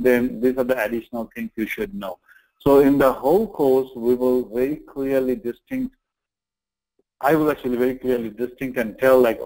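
A man's voice: mid-range voice, a little mellow, poor audio. A man teaching an online class informally, explaining things step by step with repetition and check-in questions. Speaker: online course instructor.

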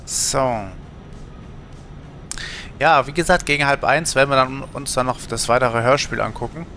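Man, bright voice, slow colloquial livestream chat, faint music behind. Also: medium pitch, fairly even intonation. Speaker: game livestreamer. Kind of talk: casual livestream chat while gaming. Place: indoor home streaming setup.

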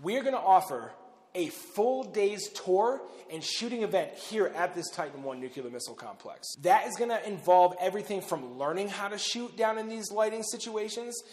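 A man's voice: sits high, lively intonation, moderate pace, darkish tone. A man in his thirties hosting a casual talk to camera inside a large underground silo, speaking loosely with frequent fillers. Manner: conversational, loose, with frequent "uh" and "pretty much". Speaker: bunker owner and host. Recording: long reverb of an underground silo.

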